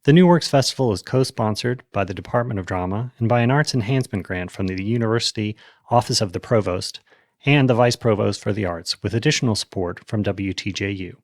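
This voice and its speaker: A man reading a scripted introduction as a festival announcer, in a measured, formal way. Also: on the low side, no room sound.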